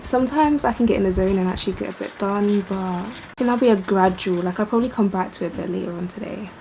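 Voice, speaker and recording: A young woman, chatting casually to camera at home about her day, a little croaky. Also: medium pitch, fast talker, a little hiss or hum, rough recording. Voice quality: slightly croaky in the morning. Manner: relaxed, chatty, thinks aloud. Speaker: vlogger. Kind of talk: casual vlog chat to camera. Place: bedroom at home.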